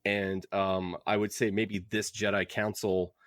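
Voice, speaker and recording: A man with a fairly low voice, recorded close and dry. He talks casually as a podcast co-host in a book club discussion, giving his opinions with joking asides. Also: flat delivery, unhurried, no room sound.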